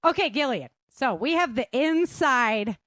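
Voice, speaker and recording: A woman's voice: high-pitched, expressive, fairly bright tone, no echo. Informal woman host, close dry sound. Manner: informal, encouraging, quick to laugh. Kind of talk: casual conversational interviewing.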